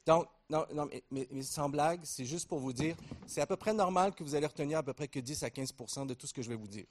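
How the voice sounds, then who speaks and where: A middle-aged man with a Québécois accent giving a conference lecture, relaxed and joking, with many fillers and restarts. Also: a little high, fast. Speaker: invited lecturer at a training conference.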